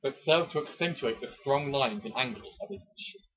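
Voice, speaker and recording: A man with a very husky, breath-roughened voice reads romance prose in a theatrical, mock-serious style. He often steps out of the narration to make jokey comments. The recording is dull and lo-fi. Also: medium pitch, animated, brisk. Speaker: amateur reader and commentator. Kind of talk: mock-dramatic reading of a romance novel with joking asides.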